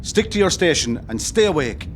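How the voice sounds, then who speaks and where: A man's voice: a little high, sing-song, moderate pace, slight background noise. A man playing a resolute, composed rebel commandant in an audio play. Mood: commanding.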